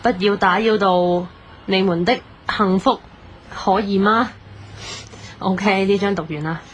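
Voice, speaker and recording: A woman's voice: mid-range voice, fairly even intonation, slow, a little hiss or hum, close to an everyday voice. An actress playing a young woman in an audio drama, with a Hong Kong Cantonese accent. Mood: gentle, reading aloud.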